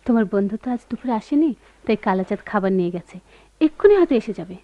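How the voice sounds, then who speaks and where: A woman acting a fond, apologetic young woman in a radio play, coaxing softly, with sitar behind her on a lo-fi recording. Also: medium pitch, animated, very fast, dry recording, natural voice. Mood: concerned. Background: sitar music.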